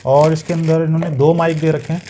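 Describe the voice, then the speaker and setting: A Pakistani man with a soft, mellow tone and a slightly high pitch talks through a product in a casual review style. He explains step by step, with some hesitant repetition. Some background noise sits behind his voice. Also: level tone, brisk. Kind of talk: casual unboxing and review narration.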